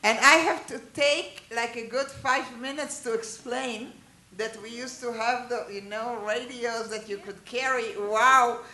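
Israeli-born lecturer, native Hebrew and Hungarian, lively question-asking teaching style, roomy echo. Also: mid-range voice, measured pace, few pauses, a little hiss or hum. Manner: chatty, asks the listeners questions, animated asides. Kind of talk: informal lecture to a small group.

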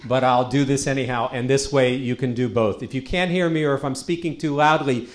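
A middle-aged man, a rabbi, giving a lecture to a community audience into a handheld microphone, with a loud voice and a habit of repeating key lines for emphasis. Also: mid-range voice, fairly even intonation, brisk. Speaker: rabbi and guest speaker.

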